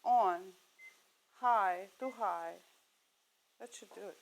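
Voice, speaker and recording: A woman's voice: mid-range voice, slow, a little hiss or hum, poor audio. A middle-aged woman from Russia, a guest talking through each step as she cooks on a TV show and laughing easily. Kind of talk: cooking demonstration chat.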